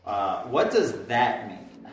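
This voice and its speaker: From a distance in a very echoey room, a man plays a bemused office buddy in a live comedy sketch. He is slow and wry, with big swings in pitch. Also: big pitch swings. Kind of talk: live comedy sketch acting in old-time radio variety style. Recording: very echoey room, distant mic, light noise.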